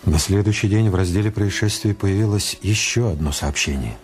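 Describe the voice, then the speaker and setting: A measured storyteller narrating a radio detective play, voiced by a male actor. He has a lowish voice and literary delivery, with soft music underneath. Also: close to an everyday voice. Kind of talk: scripted dramatic narration.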